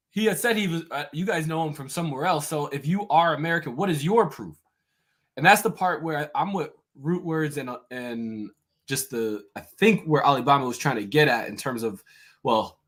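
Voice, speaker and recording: A man with a fairly high voice explains his view in a loose online group talk. He builds his argument step by step in a measured tone. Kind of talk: casual online group discussion.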